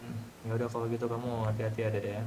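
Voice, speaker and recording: A man's voice: mid-range voice, monotone, very fast, noisy background. A man chatting casually in a roleplay game, with a protective, checking-in way of talking. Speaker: roleplay gamer. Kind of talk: casual in-character roleplay chat.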